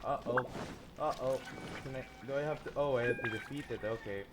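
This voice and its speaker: A man's voice: mid-range voice, brisk, very noisy. A man giving casual, playful playthrough commentary as a gaming streamer, often muttering reactions. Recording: loud game music behind.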